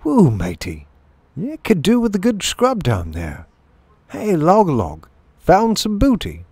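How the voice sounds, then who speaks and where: A man's voice: sits high, huge pitch swings, measured pace, darkish tone, breathy edge, no echo, voice pushed up, exaggerated voice. Man as a jaunty, cheeky sailor mouse. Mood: cheery, teasing. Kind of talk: storybook character voice.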